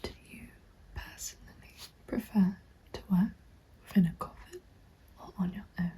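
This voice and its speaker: A young woman softly whispering close to the mic in a polite, gentle ASMR roleplay as a consultant. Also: medium pitch, moderate pace. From England. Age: young adult. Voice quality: soft breathy whisper. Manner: polite, gentle, attentive customer-service patter. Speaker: ASMR creator playing a recruitment consultant. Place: small room at home, indoors. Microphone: close microphone. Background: computer keyboard typing.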